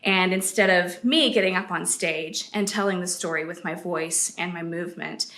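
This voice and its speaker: A woman teacher giving a steady, clear explanation of puppet performance. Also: on the low side, moderate pace, echoey room. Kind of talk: instructional explanation.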